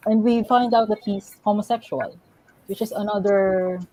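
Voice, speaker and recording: A young woman speaking as a graduate student on a book-talk panel, explaining her points in a measured way with small restarts. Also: mid-range voice, fairly even intonation, crowd noise, rough recording. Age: young adult. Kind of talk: panel discussion, academic.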